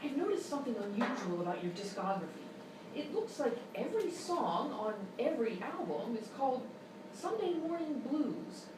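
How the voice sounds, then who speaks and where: A woman playing an earnest, courteous radio interviewer in a live comedy sketch, speaking in a formal, measured way. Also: high voice, very animated. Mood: polite, earnest. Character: polite, earnest female radio interviewer, increasingly flustered. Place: live show at a hotel venue. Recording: echoey, noisy live room, distant mic.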